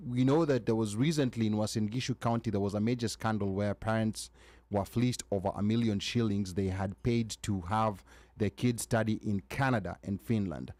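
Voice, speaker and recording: A man's voice: on the low side, darkish tone, dry recording. A man hosting a talk show, moderating and asking long questions with many "you know" fillers. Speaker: host.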